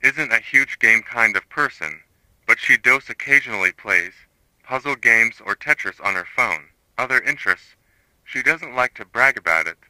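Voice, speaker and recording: Analog horror narrator: male actor as grim retired investigator, formal report delivery, hard bright edge, dry close recording. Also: medium pitch, no room sound, rough recording.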